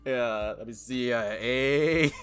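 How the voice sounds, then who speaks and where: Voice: medium pitch, slow pace, fairly bright tone. A let's play streamer reading a visual novel aloud, with casual, jokey asides and stumbles over words. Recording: music behind the voice. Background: background music.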